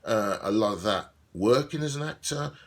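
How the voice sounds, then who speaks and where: Deep-voiced croaky man musing to camera. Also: animated, moderate pace. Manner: musing, repeats himself, digresses. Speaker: actor keeping a video diary. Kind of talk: reflective casual monologue.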